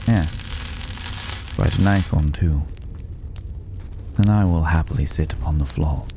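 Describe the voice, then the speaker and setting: Guy doing a mysterious polite fantasy stranger, super low slow voice, soft and kinda whispery, asmr roleplay, noisy background. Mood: courteous, curious. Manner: unhurried, pensive, old-fashioned formal phrasing. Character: mysterious, courteous male stranger living in a dragon's cave.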